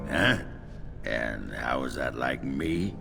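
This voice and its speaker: Very deep gravelly dwarf warrior. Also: male voice, lively intonation, slow, heavily acted. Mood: sardonic. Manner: blunt, coarse, swearing. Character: drunken, belligerent, gruff dwarf warrior. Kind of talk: video game voice acting. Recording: faint music behind.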